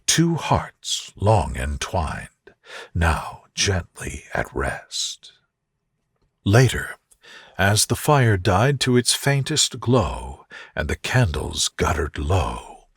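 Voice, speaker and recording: A man narrating a sleep story in a soft, gentle, soothing way. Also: low-pitched, breathy edge, no echo, studio quality. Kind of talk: soothing scripted bedtime narration.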